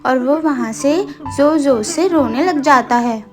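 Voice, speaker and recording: A woman's voice: sits high, unhurried, music in the background. A woman narrating a scripted cartoon story as the storyteller.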